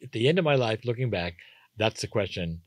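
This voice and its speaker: Animated older man, dry sound. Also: fairly low pitch, fairly quick, no echo. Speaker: guest. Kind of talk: casual interview talk.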